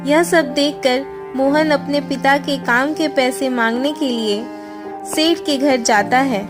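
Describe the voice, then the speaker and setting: Slow, high-pitched woman narrating a story. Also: over music. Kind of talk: scripted Hindi moral story narration.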